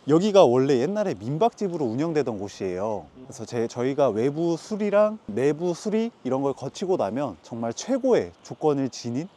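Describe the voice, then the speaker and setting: A man's voice: mid-range voice, big pitch swings, normal speed, hardly any pauses, mellow. A man in his twenties who owns a lodging business, explaining his work earnestly in a casual outdoor interview, often posing rhetorical questions. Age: 20s.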